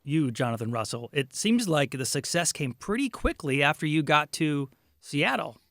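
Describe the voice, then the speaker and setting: A man's voice: a little high, big pitch swings, moderate pace, fairly bright tone, dry recording, studio quality. A man hosting a radio interview, conversational and encouraging, asking open questions. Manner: conversational, brief encouraging replies. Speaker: radio host.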